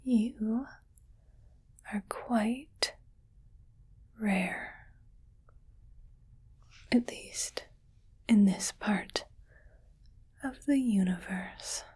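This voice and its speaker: A breathy, whispering alien lady of great wealth , played by a woman speaks extremely slowly in an ASMR roleplay. She is haughty, greedy and possessive, weighing each short phrase as if appraising a prized item. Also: mid-range voice, darkish tone, exaggerated voice. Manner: slow, deliberate, pausing between short phrases, coolly appraising.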